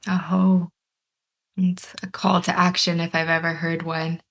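A woman hosting an interview podcast, speaking in earnest, reverent, deliberate phrases. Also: fairly low pitch, flat delivery, fairly bright tone. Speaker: podcast host. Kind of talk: reflective interview introduction.

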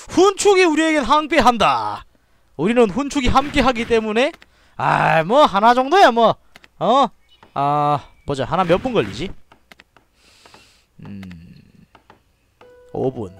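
A man from Daegu with a Daegu dialect accent, giving excitable live commentary on a strategy game, shouting orders and muttering to himself. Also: high voice, takes it slowly, creaky, pauses often. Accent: Gyeongsang (Daegu) dialect. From Daegu, South Korea. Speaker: game streamer.

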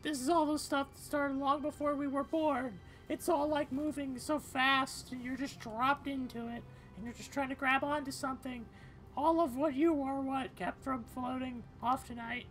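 A woman reading video game dialogue aloud in an expressive, conversational style. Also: high-pitched, normal speed, faint music behind, low-quality recording. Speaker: game streamer voicing characters.

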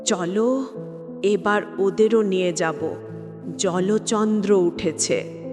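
Woman playing slow eerie ghost girl, echoing. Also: mid-range voice, voice pushed down, lightly acted. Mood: ominous. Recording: long reverb, clear background music.

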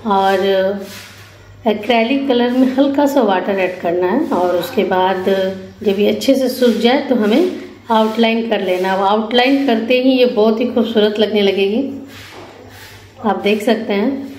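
A woman giving an instructional craft voice-over as an artist, explaining simply step by step with pauses. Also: medium pitch, darkish tone. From India. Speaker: rangoli artist giving a demonstration. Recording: echoey room, faint background noise.

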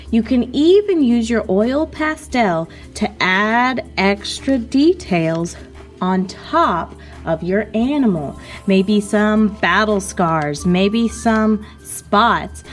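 A woman art teacher giving a slow, patient, step-by-step lesson to children over a video of her hands at work. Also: fairly high pitch.

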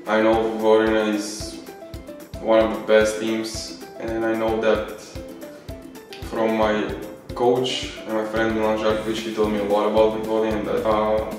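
A man's voice: lowish voice, completely flat delivery, normal speed, distant mic. A man in his twenties with a Bulgarian accent, a volleyball player talking casually about himself in an interview. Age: 20s. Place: beach outdoors and trophy room indoors. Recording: very echoey sound with music behind.

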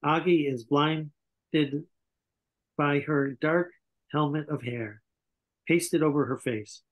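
A man's voice: fairly high pitch, fairly even intonation, slow pace. A man in his sixties reading scripted stage directions as narrator at an online table read. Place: small home room, indoors, on a video call. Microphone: computer video call.

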